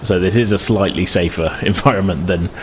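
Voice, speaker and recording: A man's voice: low-pitched, brisk, a little hiss or hum. A man acting as game master, describing scenes in a chatty, hesitant way. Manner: chatty, hesitant, many restarts.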